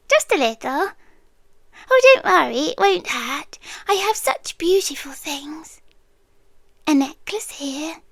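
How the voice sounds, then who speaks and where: A British woman voicing a possessive, doting monster girlfriend in an ASMR roleplay, soft and breathy, often slipping into intimate, coaxing whispers. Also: sits high, dry recording, full character voice. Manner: slow, cooing, intimate, coaxing. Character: possessive, doting female monster yandere girlfriend hoarding treasures.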